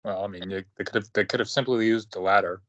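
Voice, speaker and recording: A man's voice: lowish voice, steady tone, fast talker, a bit bright, dry recording, lo-fi sound. A man with a Pittsburgh accent co-hosting a casual podcast, making wry, mocking remarks. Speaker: podcast co-host. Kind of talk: casual chat.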